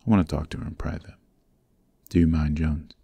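A man playing a cold-hearted, commanding young mafia heir in a scripted ASMR roleplay, speaking sternly in a gravelly voice with clipped menace. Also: steady tone, a little fast, warm tone, no room sound, full character voice. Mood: cold, threatening. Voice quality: gravelly, very deep.

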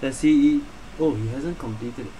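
A man giving casual gameplay commentary, thinking aloud in a halting way. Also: mid-range voice, crowd noise, low-quality recording. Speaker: gamer, video creator. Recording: loud game music behind.

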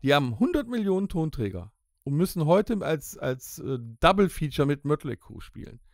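A man with a high-pitched voice talks casually as a podcast host. His delivery swings up and down as he announces rankings and teases what comes next. The sound is dry and close. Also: very animated, moderate pace, gravelly, no echo.

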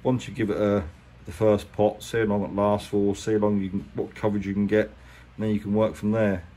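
A man's voice: fairly low pitch, little pitch movement, fairly quick, warm tone. A man with an English accent giving a chatty, colloquial hands-on tutorial in a casual, plain-spoken way. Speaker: boat restorer presenting a how-to. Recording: clear background noise, handheld workshop recording.

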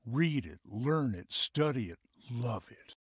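Slow-drawling Southern mentor. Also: male voice, animated, no room sound, studio quality. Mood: earnest. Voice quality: deep drawl, slow, husky. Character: older man, fatherly Southern mentor. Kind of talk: radio drama acting.

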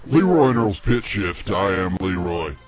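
Man with a very deep, swooping, altered voice. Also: sing-song, a little slow, loud music behind the voice. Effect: pitch shifted voice. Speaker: comedy podcast co-host. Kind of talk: casual comic banter.

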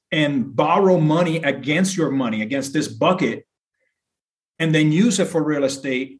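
A middle-aged man from Puerto Rico talking as an interview guest, explaining in an earnest, conversational way and repeating phrases for emphasis. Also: on the high side. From Puerto Rico, later south of Boston. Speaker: podcast guest, insurance broker.

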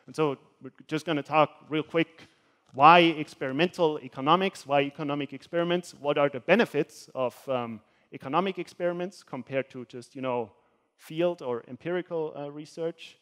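A man's voice: a little high, normal speed, a bit bright. A young man from Switzerland giving a university lecture with slides, hesitant and full of filler sounds as he walks around a hall stage. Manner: gestures while speaking, walks around the stage. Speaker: guest lecturer. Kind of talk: academic talk with slides.

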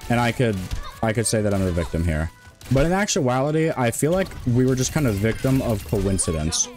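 A man in his twenties streaming a game, talking in a rambling, monotone way with many restarts. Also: medium pitch, brisk, faint music behind. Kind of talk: casual stream chat.

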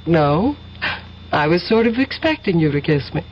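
A woman's voice: fairly low pitch, big pitch swings, moderate pace. An actress playing a tender, flirtatious American woman in love in a radio drama, with an American accent. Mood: tender, coy. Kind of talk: 1970s radio drama acting. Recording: muffled, noisy old radio recording.

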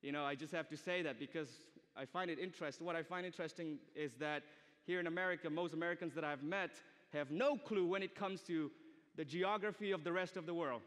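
A man's voice: a little high, fairly quick, fairly bright tone. A young man from Myanmar, an English speaker, preaching a sermon to students in a polite, earnest, conversational way. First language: a language of Myanmar. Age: young adult. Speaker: guest preacher. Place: large indoor auditorium stage. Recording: reverberant hall.

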